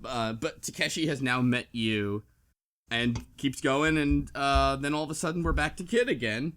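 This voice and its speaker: A man's voice: mid-range voice, normal speed, fairly bright tone. A man chatting casually as a podcast panelist, recapping and joking in an easygoing way, sometimes trailing off. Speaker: book club podcast panelist.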